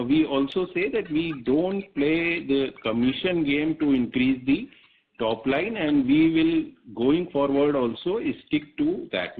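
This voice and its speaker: Male company executive, Indian accent, medium pitch, measured halting answers, thin telephone audio. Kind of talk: spontaneous answers on an earnings call. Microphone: telephone conference call. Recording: muffled narrowband phone line.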